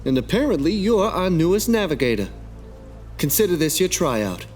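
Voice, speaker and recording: Man acting a commanding sarcastic rogue, lively pitch. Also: moderate pace, faint music behind. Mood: dry, sarcastic. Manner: curt orders, dry sarcasm. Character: gruff, commanding, sarcastic male sci-fi rogue leader. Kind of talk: visual novel fan dub acting.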